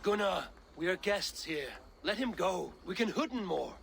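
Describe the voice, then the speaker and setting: An actor playing a calm, good-humoured Viking warrior hero in a video game. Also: male voice, sits high, gravelly, slight background noise, heavily acted. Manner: calm, measured. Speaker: game protagonist.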